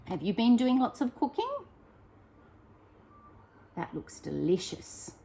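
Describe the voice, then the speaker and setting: Slow-paced woman reading to children. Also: a little high, creaky, a little hiss or hum. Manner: expressive, encouraging, addresses young children directly with questions. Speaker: primary school teacher reading to pupils. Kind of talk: picture book read-aloud with chatty asides to children.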